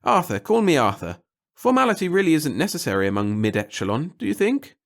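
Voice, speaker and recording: Man playing a fussy, high-voiced pompous little clerk. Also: very animated, brisk, gravelly, fairly bright tone, full character voice. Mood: flustered, eager. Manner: flowery, babbling, obsequious, pompous phrasing. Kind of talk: audiobook character voice in a sci-fi short story.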